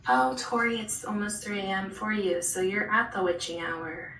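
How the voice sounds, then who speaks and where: A woman hosting a live online art class, chatting softly and answering viewers while she draws. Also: medium pitch, steady tone, normal speed, a little hiss or hum. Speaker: host and art instructor. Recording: roomy, distant live-stream audio.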